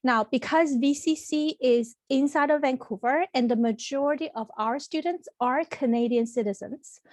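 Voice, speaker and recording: A woman's voice: high-pitched, normal speed, darkish tone, no room sound. Woman presenting on a video call. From Taiwan. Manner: friendly, explanatory, with frequent ums and restarts. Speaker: college representative presenting to students. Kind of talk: online information presentation. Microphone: computer mic on a video call.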